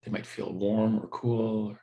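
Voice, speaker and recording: A man teaching a guided meditation in a gentle, unhurried, conversational way with halting pauses. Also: on the low side, level tone, vocal fry, dull audio.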